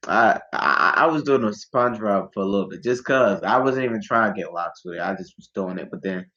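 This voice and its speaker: A man's voice: lowish voice, lively intonation, fairly quick, pushed and bright, highs cut off. A young man from Ghana, a musician guest on a podcast, talking in a laid-back, slangy, rambling way. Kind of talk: casual interview chat.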